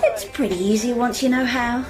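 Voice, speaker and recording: An actress playing a mild, helpful office colleague in a radio comedy drama. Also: female voice, fairly high pitch, very animated, moderate pace. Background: music.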